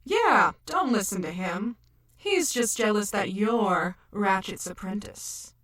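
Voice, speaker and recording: An actress playing a gentle, caring young robot trainee medic in an audio drama, speaking softly. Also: female voice, medium pitch, lively intonation, takes it slowly. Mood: supportive.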